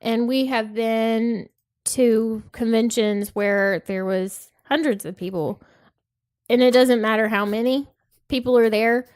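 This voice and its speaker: Woman, 30s, nasal, soft-spoken, hesitant with ums, dry close sound. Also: on the high side, fairly even intonation, slow, no room sound. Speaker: podcast co-host. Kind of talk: casual podcast chat.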